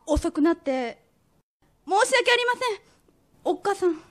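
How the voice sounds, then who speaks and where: An actress playing a polite, guarded young merchant's daughter in a period radio drama, speaking formally. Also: female voice, very high voice, sing-song, unhurried, exaggerated voice. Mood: apologetic, guarded.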